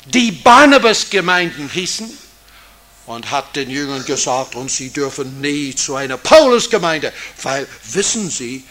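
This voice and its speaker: Slow, high-pitched man teaching. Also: very animated, bright, forceful tone. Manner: deliberate, didactic, with rhetorical questions and emphasis. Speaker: Bible teacher. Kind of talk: Bible teaching talk to an audience.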